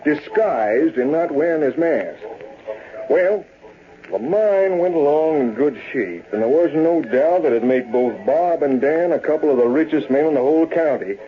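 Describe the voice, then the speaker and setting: A man's voice: fairly high pitch, natural voice. An actor playing a folksy Western storyteller, an American man narrating an old-time radio drama. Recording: tinny, hissy old-time radio recording.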